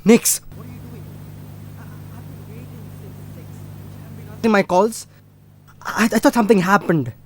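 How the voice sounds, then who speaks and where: A woman's voice: mid-range voice, normal speed, close to an everyday voice. A woman playing a moody, defensive young partner in a scripted drama, speaking bluntly. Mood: worried. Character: hot-tempered young film-loving partner, moody and defensive.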